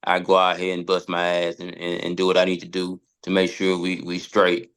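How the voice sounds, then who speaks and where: American male, deep register, loose hesitant conversational delivery, repeats words as he goes. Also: monotone, fairly quick, a bit bright, smooth. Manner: informal, hesitant, circles back over words. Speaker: podcast guest. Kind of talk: casual discussion.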